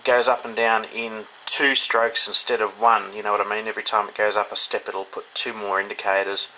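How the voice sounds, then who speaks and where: A man's voice: lowish voice, little pitch movement, brisk, pushed and bright, poor audio. An Australian man giving a casual, unscripted product review indoors, thinking aloud in an informal, hesitant way. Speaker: hobbyist radio reviewer. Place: indoors, in a room. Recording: clear background noise, indoor home recording.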